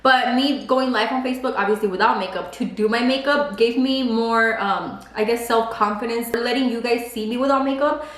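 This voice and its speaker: A woman's voice: on the high side, normal speed, roomy sound. A woman in her twenties whose first language is Spanish, chatting casually on a vlog and saying "like" a lot. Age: 20s. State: pregnant. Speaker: beauty vlogger. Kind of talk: casual chat while eating.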